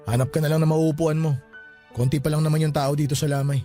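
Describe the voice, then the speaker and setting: An actor playing a grieving, guarded and easily frightened son in a Filipino radio drama, speaking colloquially with emotion. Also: male voice, a little high, level tone, fast talker, dark tone, close to an everyday voice. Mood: guarded, weary. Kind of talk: Tagalog radio drama acting. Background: background music.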